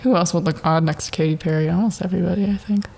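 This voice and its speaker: Young woman, podcast host, slightly low voice, rambling, self-mocking, filler-heavy casual monologue. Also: fairly even intonation, rapid-fire, creaky, darkish tone. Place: at home in the dark during a power outage.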